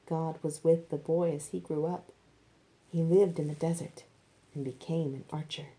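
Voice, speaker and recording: Low-voiced woman reading with a mellow tone. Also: steady tone, moderate pace, rough recording. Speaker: reader of scripture narrative. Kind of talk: scripted narration, expressive Bible storytelling.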